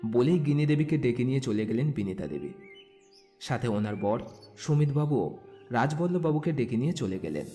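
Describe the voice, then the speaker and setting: A man's voice: mid-range voice, expressive, normal speed, warm tone, faint music behind. A man narrating a scripted romantic story as a storyteller.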